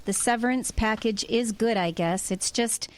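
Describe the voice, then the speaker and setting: A woman's voice: a little high, moderate pace, background noise, lightly acted. An actress with an American accent playing a weary, skeptical woman in her late thirties, hesitant and dry, in an audio drama. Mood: weary, discouraged. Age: 30s.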